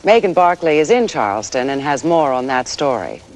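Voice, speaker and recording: A woman's voice: very deep voice, big pitch swings, normal speed, darkish tone. An actress playing a composed female network news anchor with a formal, orderly delivery in a TV bulletin. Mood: composed, serious. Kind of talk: 1980s TV news bulletin acting. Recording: faint noise, 1980s TV broadcast.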